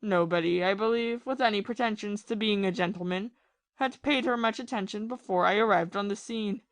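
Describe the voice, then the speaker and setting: A woman playing a bitter, lovesick, ruined ex-army captain in a period audio play, confessing passionately and haltingly. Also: mid-range voice, no room sound, natural voice. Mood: wistful. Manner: confessional, impassioned, halting with repeated phrases.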